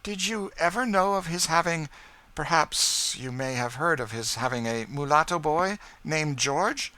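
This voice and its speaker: A man's voice: a little high, expressive, a little slow, bright, forceful tone, no room sound, pitched above the performer's own voice. A man voicing a proud, emotional woman in an audiobook, speaking with eager, earnest urgency. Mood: probing. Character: proud emotional refined woman, eager for news of lost brother. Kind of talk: audiobook character dialogue.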